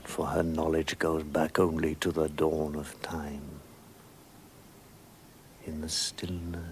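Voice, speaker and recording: Majestic lion, low pitch, unhurried, solemn, wise and gentle, radio drama. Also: male voice, slight background noise. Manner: slow, solemn, measured delivery. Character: great noble lion, kingly, wise, gentle.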